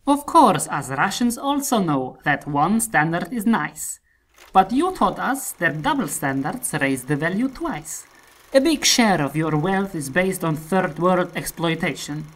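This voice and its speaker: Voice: medium pitch, lightly acted. An actor playing a scornful, cynical Russian autocrat in satirical rhymed dialogue, with a sardonic, declamatory delivery.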